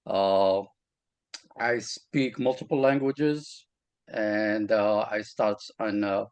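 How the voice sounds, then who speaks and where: A man with a Moroccan accent speaks in a fairly low voice and talks in a halting way, with many fillers. His words sound dry, with no echo of the room. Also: fairly even intonation, darkish tone, clean tone. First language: Arabic. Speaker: real estate agent, guest. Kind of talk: casual interview talk.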